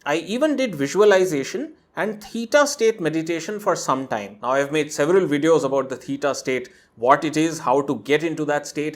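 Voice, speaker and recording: A man hosting a channel, reading out a viewer's emailed story and breaking in with his own asides. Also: a little high. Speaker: host reading a viewer's letter. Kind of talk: narrated reading with commentary.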